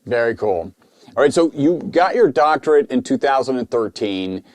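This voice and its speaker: A man's voice: mid-range voice, lively intonation, normal speed, a little mellow, dry recording. A man hosting a podcast, introducing the show and guest and asking interview questions. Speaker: podcast host.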